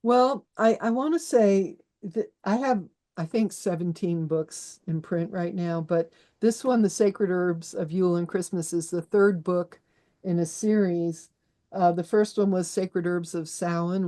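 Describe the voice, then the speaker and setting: Mellow-voiced woman guest, chatty and lowish. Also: steady tone, a little slow. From Austria. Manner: chatty, many restarts, laughs while explaining. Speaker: author and herbalist guest. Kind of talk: conversational interview.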